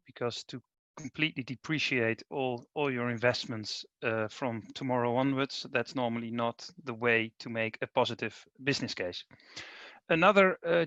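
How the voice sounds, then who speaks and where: A man's voice: medium pitch, sharp tone, no echo. Dutch-accented man presenting, hesitant. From Netherlands. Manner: hesitant, many ums and uhs. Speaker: managing director presenting. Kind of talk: webinar presentation.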